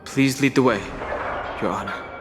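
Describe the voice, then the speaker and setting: Man acting as young male game adventurer, medium-pitched, calm, reserved, observant, reverberant, music underneath. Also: normal speed, natural voice. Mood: composed. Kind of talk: scripted video game dialogue. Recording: long hall reverb, music behind.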